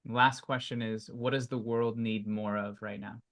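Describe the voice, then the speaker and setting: A man hosting a podcast interview, asking measured questions with frequent hedging fillers. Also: mid-range voice, little pitch movement, fairly bright tone. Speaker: podcast host.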